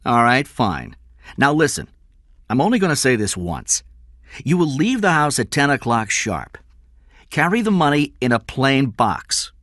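A man's voice: medium pitch, big pitch swings, moderate pace, a bit bright, no echo, clean studio sound, voice pushed up. A man voicing a cold male kidnapper in an audiobook, threatening and commanding in clipped phrases. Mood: cold, menacing. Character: cold, menacing male kidnapper giving orders.

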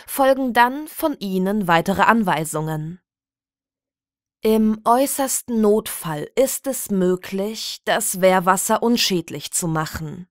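A woman reading a scripted satirical story as an official public notice, in a formal, measured, deadpan bureaucratic manner. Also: medium pitch, dry recording, studio quality. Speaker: narrator of a satirical audio story.

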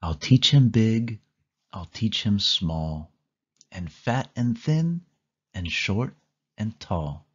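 A man reads a children's picture book aloud, slow and sing-song, his pitch swinging widely from line to line. His voice sits low and a touch husky. The recording is dull and lo-fi. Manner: slow, sing-song storytelling with lively rises and falls. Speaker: storybook reader. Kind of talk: children's read-along narration.

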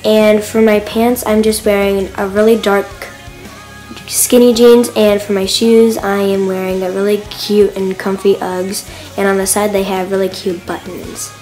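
A woman's voice: medium pitch, level tone, measured pace, mellow, faint music behind. A teenage girl filming a casual outfit vlog outdoors in a suburban yard, chatty and gushing, recorded on a phone camera set on a mailbox. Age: teens. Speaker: fashion vlogger.

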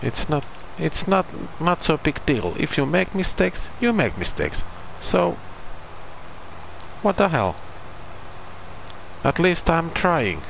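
A man's voice: fairly high pitch, sing-song, takes it slowly, gravelly, pauses often, fairly bright tone, a little hiss or hum, poor audio. A man with a Finnish accent, a non-native English speaker, doing casual commentary over farming gameplay and searching for his words. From Finland. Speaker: gaming channel host.

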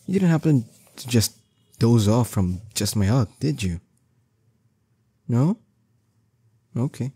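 A young man playing a strong, doting boyfriend in an intimate roleplay audio drama, coaxing and teasing affectionately with drawn-out words. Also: medium pitch, warm tone, breathy edge, no room sound, full character voice. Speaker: voice actor.

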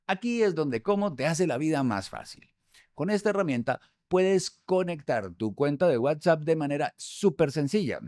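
Upbeat man hosting a software tutorial. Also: medium pitch, dry recording, clean studio sound. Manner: upbeat, conversational, with filler hesitations. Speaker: host. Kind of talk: tutorial explaining restaurant software. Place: studio room. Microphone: studio mic on boom arm.

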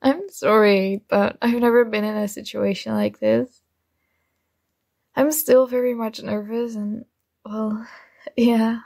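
Woman acting a slow, hesitant shy nerdy classmate. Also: a little high, flat delivery, gravelly, darkish tone, dry recording, studio quality, full character voice. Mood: nervous, awkward. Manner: hesitant, halting, awkward. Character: shy, nerdy young female classmate, flustered and smitten. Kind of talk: ASMR romantic roleplay.

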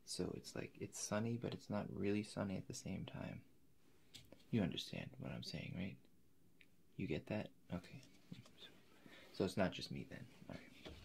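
Deep, gravelly young man speaking softly. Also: lively intonation, slight background noise. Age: 20s. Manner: hesitant, halting, slipping into whispers. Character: eccentric, polite young forest painter. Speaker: ASMR performer. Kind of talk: soft-spoken ASMR roleplay. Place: indoors in front of a forest backdrop.

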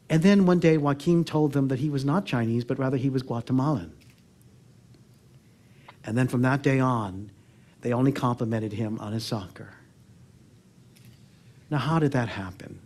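A man in his sixties with an American accent speaks as a public speaker on stage. His pitch is middling. He tells personal stories in an earnest, reflective way, leaving pauses and softening the ends of his phrases. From Oakland, California, United States. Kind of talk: personal storytelling talk on stage. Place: theatre stage before a seated audience.

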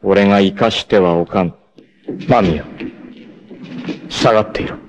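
A man's voice: on the low side, unhurried. A man voicing a proud, stoic young male martial artist who is dying, in 1980s TV anime style, speaking in grave, solemn declarations with sudden anguished outbursts. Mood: commanding. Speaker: voice actor. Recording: old TV anime audio with hiss.

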